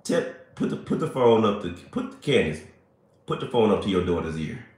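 A man, chatting playfully with viewers on a livestream, a little hoarse and congested. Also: lowish voice, big pitch swings, moderate pace, a little mellow. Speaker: livestream host. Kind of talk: casual livestream chat with viewers. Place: small bright room indoors. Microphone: phone at arm's length. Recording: very echoey room.

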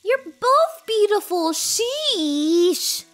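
A woman voicing a cute, excitable and sheepish young cat-girl with a squeaky, girlish voice in an animated roleplay. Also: very slow, heavily acted. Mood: sheepish bluffing. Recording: background music under dialogue.

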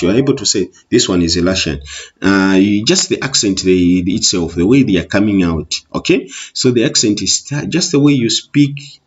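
A man's voice: lowish voice, slightly rough. A Zambian man with a Tonga-influenced English accent, a Bemba speaker, talking through an exam paper in an online lesson, explaining patiently and repeating "okay" often. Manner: explains slowly, repeats with many okays. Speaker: teacher. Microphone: voice-over on screen recording. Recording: muffled lo-fi recording.

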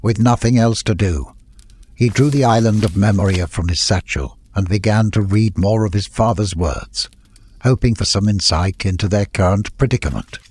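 Casting: man for fantasy audiobook narration, lowish pitch, husky tone. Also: moderate pace, darkish tone. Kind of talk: scripted story narration.

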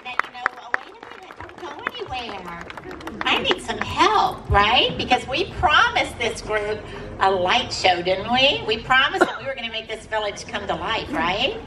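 Woman event host, upbeat and coaxing, slightly high voice, heard through loudspeakers from the crowd, echoey, noisy audience. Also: sing-song, moderate pace. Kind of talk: addressing a crowd with a microphone. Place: outdoor night event with crowd. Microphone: handheld mic through speakers, filmed from audience. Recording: echoey PA sound from far off, crowd noise.

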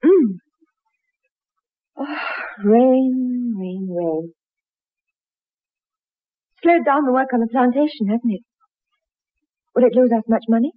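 A woman's voice: on the high side, expressive, slow, long pauses, dry recording. An actress playing an anxious, torn married woman in a 1960s radio drama, hesitant and emotional. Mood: uneasy. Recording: muffled, narrow-band 1960s radio recording.

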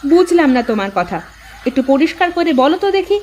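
A woman voicing a nagging, sharp-tongued village housewife in a cartoon folk tale, with rural speech and a scolding, sarcastic manner. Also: high voice, sing-song, a little fast, muffled recording. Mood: offended.

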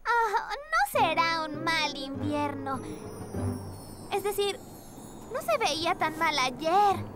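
A mild little girl in a children's cartoon, easygoing and slow-spoken. Her pitch is very high, and music is strong in the background. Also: very animated, exaggerated voice. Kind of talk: Spanish-dubbed children's cartoon acting.

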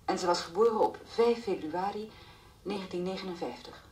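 A tactful female police inspector in a radio play, acted by a woman. She questions methodically and politely, in a formal, careful voice of medium pitch. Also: brisk, darkish tone, lightly acted, poor audio. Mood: careful, composed. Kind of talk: radio drama acting.

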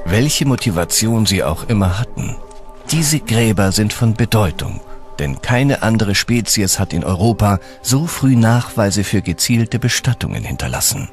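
A man narrates a documentary in over clearly audible music. His voice sits on the low side and moves with lively intonation. The delivery is measured and dramatic, like scripted storytelling. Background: background music.

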